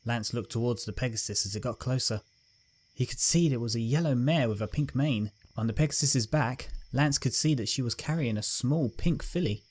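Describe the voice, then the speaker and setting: A man narrating a fan fiction story in a steady storytelling manner. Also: mid-range voice, normal speed, slightly rough.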